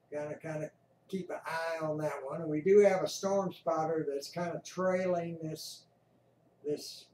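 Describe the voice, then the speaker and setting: A man giving casual, unhurried live commentary as an amateur host explaining a weather radar. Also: fairly high pitch, mellow. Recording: roomy, distant mic, faint noise.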